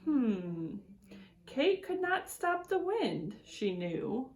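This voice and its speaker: Woman for children's read-aloud: unhurried educator, swooping expressive delivery, mid-pitched voice, words stretched for effect. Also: a little hiss or hum. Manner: deliberate, expressive storytelling with drawn-out words and swoops. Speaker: extension educator reading aloud to schoolchildren.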